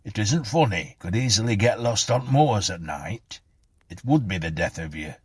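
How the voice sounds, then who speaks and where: A man voicing a kindly, weary policeman in a storybook, blunt and gently scolding. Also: lowish voice, lively intonation, normal speed, breathy edge, no room sound. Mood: concerned. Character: kindly, weary male policeman on night beat.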